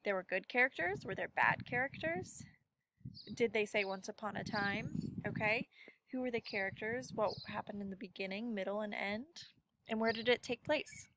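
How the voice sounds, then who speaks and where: A woman teaches children in a casual, conversational way. She thinks aloud as she demonstrates, in a mid-pitched voice with faint noise behind it. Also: normal speed. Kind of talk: casual spoken lesson for children.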